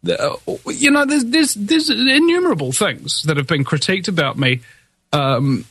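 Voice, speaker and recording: A man's voice: high voice, animated, normal speed, fairly bright tone, husky, no room sound. A man hosting his own show, ranting defiantly in a solo monologue full of fillers and restarts, with a somewhat whiny voice. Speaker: podcast host.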